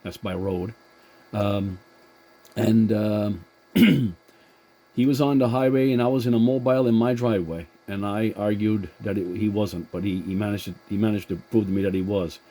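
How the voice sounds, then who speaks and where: A man chatting casually as a CB radio operator, in a halting way that repeats words. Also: fairly low pitch, dark tone. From Atlantic Canada.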